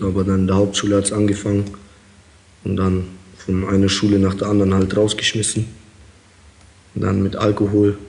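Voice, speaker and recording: Hesitant young man, low flat voice. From Kazakhstan. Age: young adult. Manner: hesitant, many fillers, calm and plain. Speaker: young inmate interviewee. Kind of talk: interview answers.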